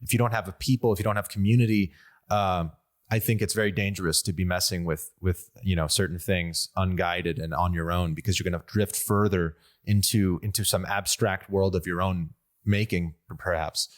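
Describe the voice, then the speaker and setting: A man's voice: sits low, fairly even intonation, normal speed. A young man from the United States whose first language was Armenian, hosting a casual conversation with frequent hesitations and restarts. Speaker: podcast host.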